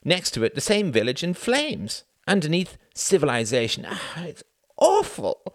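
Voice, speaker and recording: A man putting on a high, moaning, harried politician. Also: wildly swooping pitch, moderate pace, no echo, studio quality. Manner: moaning, high-strung, snappish. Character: weary, exasperated older male politician.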